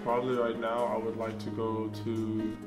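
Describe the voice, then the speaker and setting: A man's voice: fairly low pitch, monotone, normal speed, echoey room, over music. A young man on a blind date, joking and defensive in casual banter.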